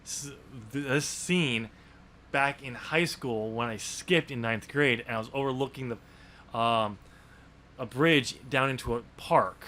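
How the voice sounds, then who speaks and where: An American man in a casual podcast chat, talking haltingly and often restarting his sentences. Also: medium pitch, pushed and bright, slight background noise. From New York State, US. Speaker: podcast host and author discussing his novel.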